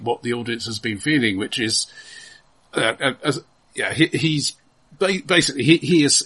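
A man co-hosting a film discussion podcast, explaining his points in a casual, measured, halting way. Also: medium pitch, fairly bright tone, slightly rough. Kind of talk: casual film analysis chat.

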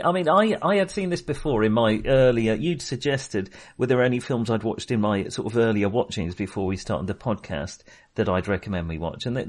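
Husky man chatting about films. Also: mid-range voice. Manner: hesitant, many restarts and ums. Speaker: podcast co-host. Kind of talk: casual film discussion.

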